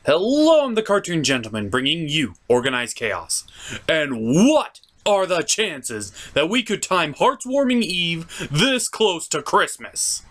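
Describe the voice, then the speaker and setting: A man acts out a theatrical gentleman puppet with a raised, swooping voice. He delivers scripted comic commentary as a review show host, exclaiming and easily exasperated. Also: a little high, big pitch swings, measured pace, full character voice. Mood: showy, upbeat.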